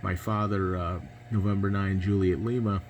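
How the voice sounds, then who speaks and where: A man's voice: low voice, level tone, moderate pace, darkish tone, a little hiss or hum. A man with an American accent chatting casually as an amateur radio operator. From United States.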